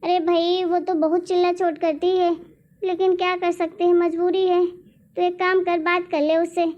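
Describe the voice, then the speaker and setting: Woman acting older cartoon mother-in-law, extremely high voice, anxious, nagging, complaining, dull recording. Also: level tone, moderate pace. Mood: grudging. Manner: fretful, nagging. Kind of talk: Hindi cartoon family drama voice acting.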